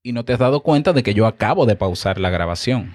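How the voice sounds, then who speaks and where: A man's voice: lowish voice, expressive, fast, a little mellow. Dominican man giving podcast tips. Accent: Dominican Spanish. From Dominican Republic. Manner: conversational, tag questions like "¿ya?". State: a bit out of breath. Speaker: podcast host. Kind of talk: instructional podcast monologue.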